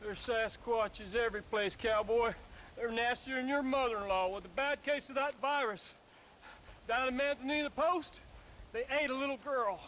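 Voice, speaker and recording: An actor playing a fierce, paranoid backwoods hunter with a rural American accent and a manic, ranting manner. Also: male voice, very high voice, normal speed, fairly bright tone, poor audio. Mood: agitated. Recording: faint outdoor ambience noise.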